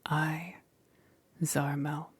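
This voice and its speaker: A woman ASMR creator whispering in a breathy, hushed, measured way as she reads out a list. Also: low-pitched, little pitch movement, no room sound. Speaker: ASMR creator thanking supporters.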